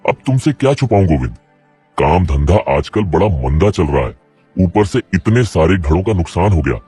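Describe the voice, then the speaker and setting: A man voicing a deep-voiced poor potter. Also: sing-song, normal speed, mellow, husky, exaggerated voice. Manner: worried, plain-spoken. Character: poor struggling middle-aged male potter. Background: faint music.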